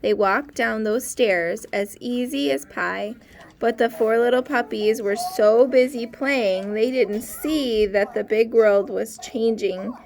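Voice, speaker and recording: A woman teacher reading a storybook aloud to young children, expressive and pausing to ask questions. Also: fairly high pitch, vocal fry.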